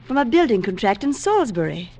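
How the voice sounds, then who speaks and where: A woman's voice: fairly high pitch, big pitch swings, quick pace, darkish tone. A young bride in an old radio drama. Her voice swoops freely, playful and coy, with an innocent curiosity and affection. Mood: curious. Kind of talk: vintage radio drama acting.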